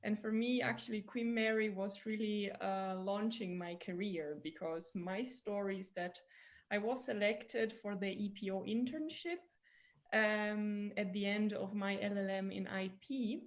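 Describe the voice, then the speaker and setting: A woman's voice: mid-range voice, fairly even intonation, a little slow. Italian-accented woman lawyer on a video call. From Italy. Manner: warm, enthusiastic alumni talk. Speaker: patent litigation lawyer.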